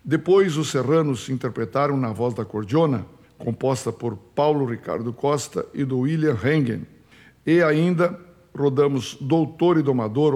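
A man with a southern Brazilian accent presenting a radio music show in a formal, courteous, measured announcer style. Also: mid-range voice, a little mellow. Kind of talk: formal scripted radio presenting with sponsor reads. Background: music under the voice.

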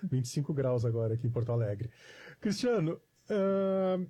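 A man's voice: medium pitch, expressive, normal speed, dark tone. A man from hosting a talk show, asking long, chatty questions with rising emphasis. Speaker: radio show host. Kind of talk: live talk show interview.

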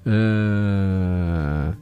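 A man with a southern Brazilian accent hosting an overnight radio show, hoarse from laryngitis, chatting folksily as he reads out listener messages. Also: deep voice, very slow, warm tone, faint music behind. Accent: southern Brazilian, Rio Grande do Sul. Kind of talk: casual radio chat reading listener messages. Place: radio studio.

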